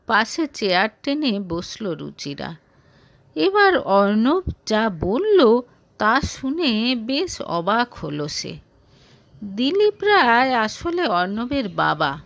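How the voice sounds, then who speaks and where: A woman narrating a romantic audio story in an expressive, emotive, dramatic way. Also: fairly high pitch, vocal fry, no echo.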